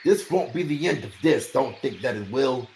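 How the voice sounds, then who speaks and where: A man's voice: medium pitch, animated, normal speed, a little mellow, pitched above the performer's own voice, natural voice. A man voicing a scrawny, defiant old peasant with emphatic rustic speech, in a storybook reading. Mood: bitter, stubborn. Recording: roomy echo, faint noise.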